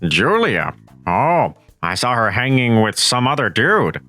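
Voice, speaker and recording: A cheerful male school buddy, voiced by a man in a manga dub. His tone is bright and bouncy, with lively pitch. Light music plays behind. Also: a little slow. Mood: friendly. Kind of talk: manga voice-over acting.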